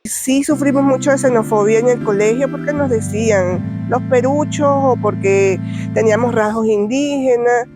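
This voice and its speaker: A woman speaks in a plain, matter-of-fact documentary testimony, a little higher than average. Clear music plays under her words. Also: normal speed. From Venezuela. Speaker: migrant interviewee.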